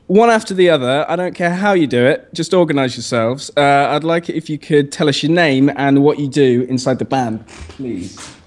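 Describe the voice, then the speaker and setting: A man's voice: on the high side, expressive, moderate pace. A man hosting a casual, joking radio interview, giggly and easily flustered.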